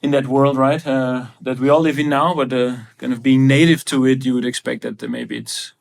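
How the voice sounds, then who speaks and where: A man's voice: mid-range voice, level tone, brisk, creaky. A man hosting a podcast interview, asking questions hesitantly and searching for words. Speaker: podcast host. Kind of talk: interview conversation.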